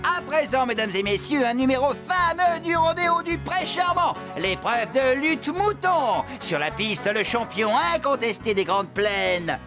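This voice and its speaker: An actor playing a jolly, bombastic male rodeo announcer in a children's cartoon, with a grandiose, drawn-out barker delivery. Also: extremely high pitch, lively intonation. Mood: excited, mock-dramatic. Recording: loud music behind the voice.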